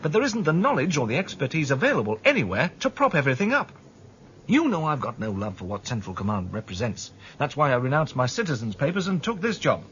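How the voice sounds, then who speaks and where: An actor playing a stubborn official who argues about a dangerous fugitive. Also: male voice, medium pitch, huge pitch swings, quick pace, fairly bright tone. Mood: insistent. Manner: insistent, arguing. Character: weary male official chasing fugitives, stubborn. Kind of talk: radio drama acting. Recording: dull lo-fi radio drama, faint noise.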